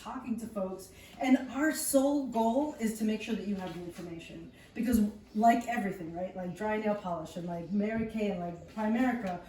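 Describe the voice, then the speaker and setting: A woman's voice: mid-range voice, dark tone. A woman speaking enthusiastically to a live group as a presenter, laughing often. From United States. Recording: roomy, distant mic, some noise.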